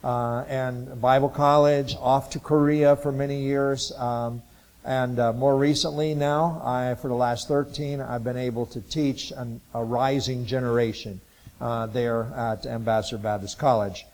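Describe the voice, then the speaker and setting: Older guy in his 60s from ohio, rambling church talk, lots of uhs and restarts, middle-pitched voice. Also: steady tone, a little mellow, low-quality recording. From Ohio, USA. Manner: halting and rambling, many filler sounds and restarts. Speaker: Bible college missions teacher. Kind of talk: informal testimony talk to a church group.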